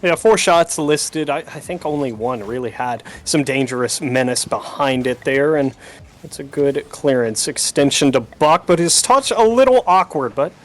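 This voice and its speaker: A man's voice: mid-range voice, expressive, moderate pace. A man doing live play-by-play commentary of a video game match, using sportscaster slang. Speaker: esports commentator, play-by-play caster.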